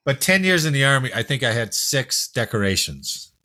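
A man from New Jersey hosting a casual news chat, jokey and quick to laugh. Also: medium pitch, very animated, sharp tone. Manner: jokey, laughs often, tells stories. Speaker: podcast host.

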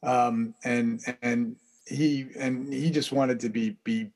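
Guy chatting easy on a podcast, rambles, laughs a lot, mid-pitched voice. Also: slow. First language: English. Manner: relaxed, rambling, laughs often. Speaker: guest, baseball interpreter. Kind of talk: casual storytelling interview.

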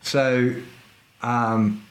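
Middle-aged male podcast guest, fencing instructor; chatty, emphatic, mid-pitched, keeps tagging "right?". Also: level tone, extremely slow, slight background noise. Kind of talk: casual interview chat.

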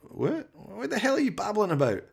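A man voicing a high-strung, indignant young man who protests and pleads shrilly in a comic radio play. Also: wildly swooping pitch, normal speed, vocal fry, breathy edge, heavily acted.

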